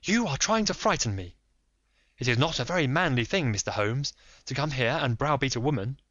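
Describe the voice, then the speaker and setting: A man voicing a proud aristocratic lady who turns desperate, in a high, strained voice. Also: animated, a little fast, a bit bright, no echo, highs cut off. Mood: indignant. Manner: high, strained, pleading delivery. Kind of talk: audiobook reading.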